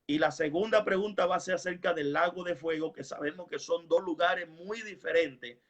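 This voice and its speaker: A man's voice: fairly high pitch, moderate pace, a bit bright. A man hosting a religious program in a devout manner, with exclamations of praise, heard through dull, lo-fi sound. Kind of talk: religious hosting, prayer and scripture reading.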